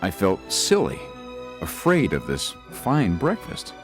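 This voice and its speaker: An actor playing a young male lawyer who narrates an eerie tale in a literary, measured, dramatic way. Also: low-pitched, wildly swooping pitch, faint music behind, exaggerated voice. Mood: unsettled, wary. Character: young lawyer narrating his eerie stay, uneasy, athletic man. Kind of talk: scripted first-person ghost story narration.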